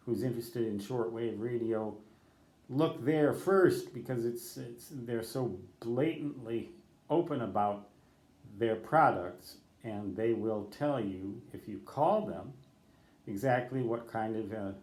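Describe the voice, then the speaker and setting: A man's voice: mid-range voice, a little mellow, roomy sound. An older man who is a ham radio operator, giving rambling advice off the cuff, correcting himself and spelling out letters in radio code. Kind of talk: unscripted talk to camera.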